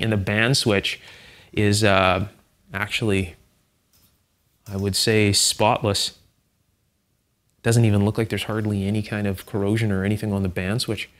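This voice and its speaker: A man hosting a hands-on electronics teardown, explaining things in an unhurried, methodical, conversational way. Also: on the low side. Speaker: host, electronics restorer and teacher. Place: indoor electronics workshop, at the bench.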